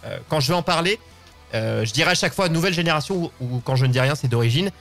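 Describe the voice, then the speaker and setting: A man's voice: fairly high pitch, expressive, racing, creaky, a bit bright. Guy in his 20s hosting a chatty live show, stammers and rambles, bit of music behind. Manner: rambling, stammering and repeating words. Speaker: host of a live open-line show. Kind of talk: casual live show chat.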